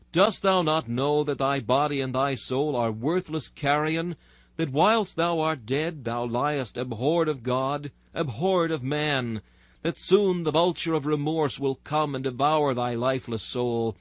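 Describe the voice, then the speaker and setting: A man's voice: a little high, unhurried, no room sound. A man reading a sermon in a solemn, exhorting, oratorical manner. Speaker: narrator reading a historical sermon.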